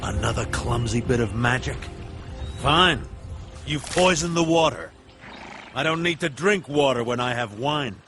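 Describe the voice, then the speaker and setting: An actor playing an arrogant, defiant Egyptian Pharaoh in an animated film, sneering, imperious and theatrical. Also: male voice, mid-range voice, unhurried, soft background music. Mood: scornful.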